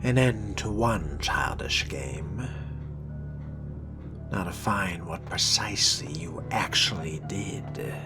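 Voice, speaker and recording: Guy doing a low slow sardonic vampire, weary and haughty, muttering to himself like game roleplay, often whispery, music in back. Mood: dry, musing. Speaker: game player role-playing the protagonist. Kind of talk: in-character video game role-play commentary. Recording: music behind the voice.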